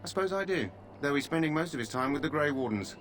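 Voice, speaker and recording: An actor playing a courteous royal guard who talks too freely. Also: male voice, a little high, expressive, quick pace, a bit bright, faint music behind. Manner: polite, overly talkative. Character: loose-lipped male royal guard.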